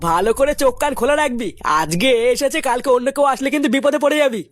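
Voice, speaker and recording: A high-pitched, quick-talking cartoon gang leader acted out by a performer with a female-sounding voice. The figure is cocky and blunt, boasting and threatening in comic dialogue. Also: animated, no echo, studio quality. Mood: urgent. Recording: music behind the voice. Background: music.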